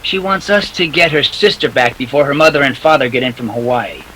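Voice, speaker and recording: An actor playing a hard-boiled, wry private detective in a 1940s-style radio play, with clipped, slangy, sardonic delivery. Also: male voice, medium pitch, a little fast, pushed and bright, a little hiss or hum, close to an everyday voice, poor audio. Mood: matter-of-fact, wry. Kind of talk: 1940s radio noir acting.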